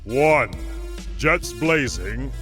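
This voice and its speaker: A man playing a dramatic adventure serial narrator in a motion comic, deliberate and suspenseful. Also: medium pitch, expressive, pushed and bright, soft background music, heavily acted.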